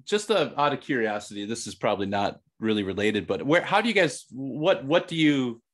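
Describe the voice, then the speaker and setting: A man's voice: mid-range voice, animated, fairly quick. A man in his thirties chatting casually as a podcast co-host, rambling and searching for words. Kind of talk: casual chat.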